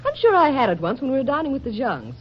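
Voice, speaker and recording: A woman's voice: high-pitched, wildly swooping pitch, fast, slight background noise. A woman playing a glamorous, worldly young career woman who gushes and name-drops in a 1940s radio drama. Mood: animated. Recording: dull old radio recording, chatter behind.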